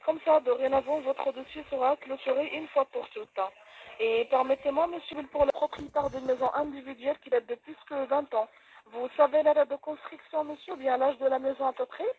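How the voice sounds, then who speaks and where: A woman's voice: high voice, fairly even intonation, brisk. A woman making a polite, formal telephone sales call, asking questions from a script over a phone line. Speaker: telemarketer, home inspection cold caller. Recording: dull noisy phone line.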